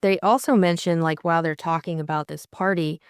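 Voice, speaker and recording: A woman's voice: lowish voice, steady tone, a little fast, smooth, dry recording, clean studio sound. A young woman co-hosting a casual book discussion, explaining her thoughts carefully. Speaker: audiobook co-host and narrator.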